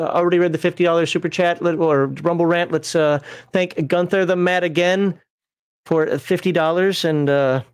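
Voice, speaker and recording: Middle-aged guy hosting a live stream, a bit higher voice, laughs a lot, dry close sound. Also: no echo. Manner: laughs often, reads chat messages aloud. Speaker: livestream host. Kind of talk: casual livestream chat.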